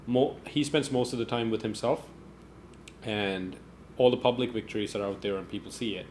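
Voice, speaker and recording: A man hosting a podcast, chatting in a relaxed, curious and thoughtful way. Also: on the low side, moderate pace, a little hiss or hum. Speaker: podcast host. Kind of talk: casual conversation.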